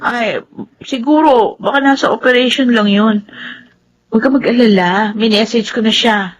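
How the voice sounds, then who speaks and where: An actress playing a playful, caring young younger sister in Filipino radio drama, with a chirpy manner. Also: female voice, a little high, normal speed, dull audio, natural voice. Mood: concerned, soothing.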